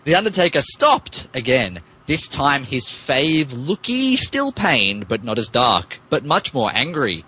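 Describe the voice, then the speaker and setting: A man reads a story aloud with amused, theatrical mock drama. His voice is medium in pitch and husky, with lively intonation. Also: unhurried, few pauses, rough recording. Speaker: podcast co-host and narrator.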